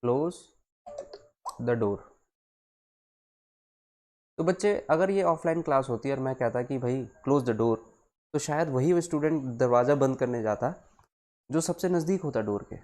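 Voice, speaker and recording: A man's voice: medium pitch, lively intonation, a little mellow. Male teacher explaining step by step. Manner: explanatory, addresses students as children, switches between Hindi and English; deliberate, repeats phrases for emphasis. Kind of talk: live online English grammar lesson for exam students.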